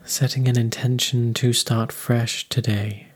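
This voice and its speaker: A man leading a guided meditation in a calm, unhurried, soothing manner. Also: medium pitch, husky.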